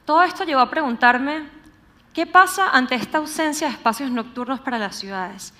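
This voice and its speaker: Female conference speaker, young adult, Caracas Venezuelan accent, higher-pitched voice, measured and explanatory delivery of a prepared talk. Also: bright, forceful tone. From Venezuela, grew up in Caracas. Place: on stage at a live talk event.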